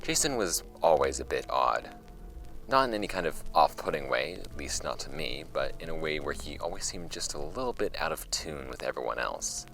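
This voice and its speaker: An actor playing a wry archive host, reading old case files aloud in a measured, conversational way for a scripted audio drama. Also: male voice, extremely low pitch, sing-song, gravelly, a bit bright, full character voice. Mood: reflective, measured. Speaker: host of a fictional case-file podcast. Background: music under the voice.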